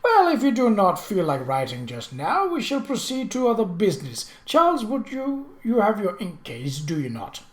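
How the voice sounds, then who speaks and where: A narrator voicing an imperious, mocking British prince in an audiobook, pompous and theatrical, with deliberate, drawn-out emphasis. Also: medium pitch, wildly swooping pitch, echoey room, voice pushed up. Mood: brisk, pointed. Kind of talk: audiobook character voice.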